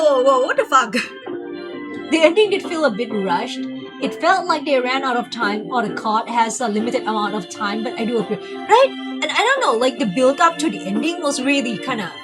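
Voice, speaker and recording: Chatty young woman streamer, lively pitch. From Thailand. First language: not English. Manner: rambling, filler-heavy, frequent restarts. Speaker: game streamer. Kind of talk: casual livestream commentary.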